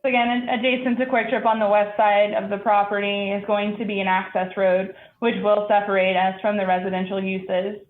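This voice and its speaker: A woman's voice: mid-range voice, level tone, brisk, hardly any pauses, narrow, tinny audio. A woman answering questions as a company representative at a public hearing, in an assured, businesslike way. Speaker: applicant representative for a store chain. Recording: echoey room, thin band-limited sound.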